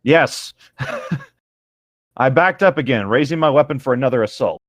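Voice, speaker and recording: Male co-host for a fan fiction reading show, lively pitch swings, casual riffing, close dry recording. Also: measured pace, dry recording. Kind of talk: casual riffing and read-aloud narration.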